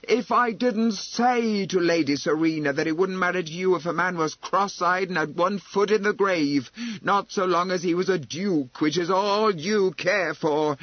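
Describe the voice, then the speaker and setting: A man voicing an indignant, protective middle-aged woman who scolds bluntly and forcefully in a novel reading. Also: sits high, dry recording, dull audio, pitched above the performer's own voice.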